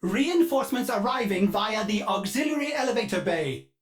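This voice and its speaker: A man's voice: sits high, animated, moderate pace. An actor playing a polite, fussy male ship AI in a video game who speaks of himself in the third person, heard through a glitchy robotic voice filter. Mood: helpful. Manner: sudden dark glitched outbursts.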